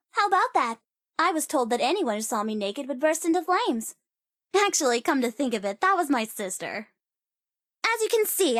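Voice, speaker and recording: High-pitched, sassy young sorceress. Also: female voice, fairly bright tone, dry recording, studio quality. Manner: sassy, playful, teasing, theatrical. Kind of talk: video game character voice lines.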